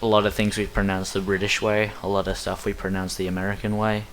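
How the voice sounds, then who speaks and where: A young man with a relaxed Australian accent talks his way through a speedrun in a chatty, self-deprecating ramble. His voice sits on the low side, and a faint hiss of noise runs under the recording. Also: quick pace, low-quality recording. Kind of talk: casual commentary over gameplay.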